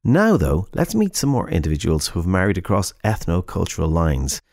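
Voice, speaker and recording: A man presenting a radio show in a measured, announcing way. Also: low-pitched, wildly swooping pitch, gravelly, a little mellow, no room sound, studio quality.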